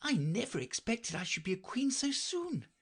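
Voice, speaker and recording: A woman's voice: fairly high pitch, big pitch swings, normal speed, husky, voice pushed up. A woman voicing a polite, earnest young girl with a slightly timid manner. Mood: earnest girlish.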